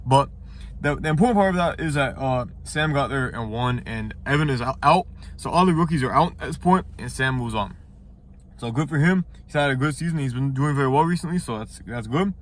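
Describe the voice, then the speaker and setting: Fast-talking congested young man. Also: medium pitch, animated, a little hiss or hum. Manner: rambling, many restarts and fillers. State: congested, nasal. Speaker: ninja competitor reviewing episodes. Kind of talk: casual commentary review.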